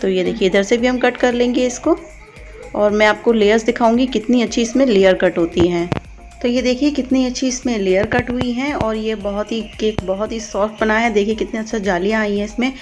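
A woman's voice: a little high, fairly even intonation, brisk, darkish tone, faint music behind. A woman giving informal step-by-step instructions as a cooking channel host. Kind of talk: recipe voice-over, step-by-step instruction.

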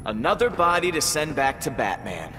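A man's voice: fairly high pitch, very animated, moderate pace, very noisy. A man playing a cocky, hot-headed, taunting vigilante in video game fight banter. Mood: cocky menace.

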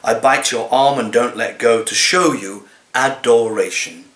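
Slow, theatrical man in his sixties. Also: medium pitch. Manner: deliberate, theatrical, expressive delivery in a cat's persona. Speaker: actor reading poems. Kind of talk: dramatic comic poetry reading. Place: small living room, indoors. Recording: roomy, echoey home recording.

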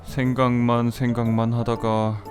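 Brief: male actor as a shy, flustered man in his 30s, slow, hesitant delivery, over background music. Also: mid-range voice, level tone, natural voice. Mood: fretful. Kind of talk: amateur audio drama acting. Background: soft background music.

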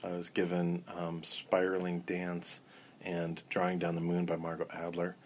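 A man guest in an interview, talking in a relaxed, rambling way with many ums and restarts. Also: low voice, normal speed, a little hiss or hum. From Florida. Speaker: interview guest, coven elder. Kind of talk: casual storytelling interview.

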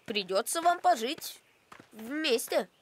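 An earnest young cartoon boy speaks in a high, expressive voice. He is caring and reasonable, explaining things with encouragement. There is clear noise behind the voice. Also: takes it slowly. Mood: pondering. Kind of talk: animated series voice acting.

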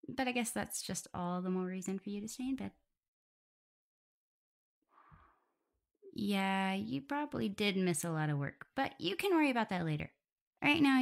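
Casting: woman to play young female omega werewolf for ASMR audio drama; caring, flustered, fussing; soft breathy delivery, lowish pitch with plenty of vocal fry. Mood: gentle, coaxing. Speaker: audio roleplay performer. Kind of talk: intimate ASMR roleplay.

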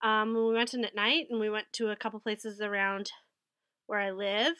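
A woman's voice: medium pitch, level tone, normal speed, pushed and bright, clear, resonant tone, no room sound, clean studio sound. A woman in her thirties with a head cold, sounding a bit nasal, chatting rambly about her knitting haul to a webcam. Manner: chatty, rambling, self-correcting. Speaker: knitting vlogger. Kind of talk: casual haul and recap vlog. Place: small wood-paneled room with bookshelves. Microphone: webcam at desk.

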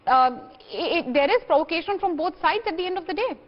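A woman in her thirties with an Indian accent moderating a live news debate in a measured, wordy way. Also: very high-pitched, lively intonation. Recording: muffled, long reverberant sound.

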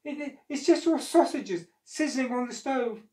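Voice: expressive, moderate pace, darkish tone, reverberant, distant mic. A reader voicing an enormous, anxious woman who stammers when flustered. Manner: high, shrill, flustered stammer.

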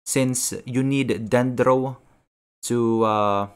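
A young man hosts a casual reaction video. His voice sits at a medium pitch, and he talks haltingly, circling back over his phrases as he comments. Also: steady tone, smooth. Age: young adult.

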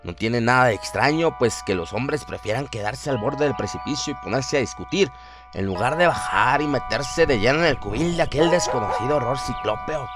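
A man's voice: mid-range voice, lively intonation, normal speed, over loud music. A man narrating a horror story in a dramatic, scripted storytelling style. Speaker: narrator of horror audio stories.

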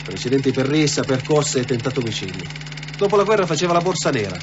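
A man's voice: mid-range voice, lively intonation, fast talker, close to an everyday voice. A man playing an officious, matter-of-fact police cameraman explaining things in a radio drama. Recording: muffled, with loud machine hum.

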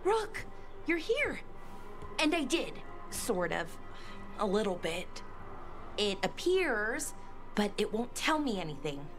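Game role: curious elf woman, earnest, hesitant, animated pitch, slow pace, music underneath. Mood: flustered. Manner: halting, thinking aloud.

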